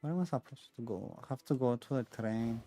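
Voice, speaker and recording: A man's voice: medium pitch, fairly quick, warm tone. A man giving casual gaming commentary as a streamer, chatty and thinking aloud as he plays. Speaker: game streamer.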